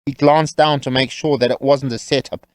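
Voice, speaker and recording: A man's voice: medium pitch, steady tone, normal speed, no echo. A man narrating a scripted comic tale as a wry, tongue-in-cheek storyteller.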